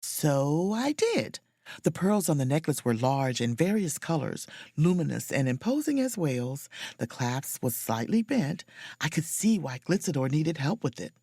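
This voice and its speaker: A woman's voice: sits low, very animated, measured pace, warm tone, husky, no room sound, studio quality, heavily acted. A woman acting a proud, self-dramatizing chief mate who narrates an audio drama as a wry diary. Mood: sly, narrating. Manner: theatrical, wry, emphatic.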